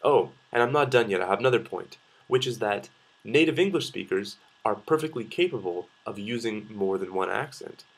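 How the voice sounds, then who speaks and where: A man's voice: on the low side, lively intonation, normal speed, rough recording. A young man with a Canadian accent giving his opinions in a casual vlog, talking in a hesitant, rambling way and often interrupting himself. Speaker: video blogger.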